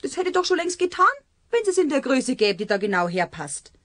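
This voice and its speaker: A practical, nagging Bavarian housewife in a children's audio play. Her voice is fairly high and swings widely, in a dry recording. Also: very animated, brisk, no echo. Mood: exasperated. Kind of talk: children's radio play acting.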